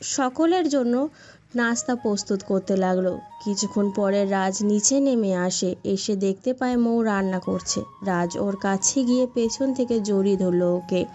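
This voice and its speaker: A woman's voice: medium pitch, a little slow, warm tone. A woman reading scripted narration for a romantic story as its storyteller. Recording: dull lo-fi sound, music bed.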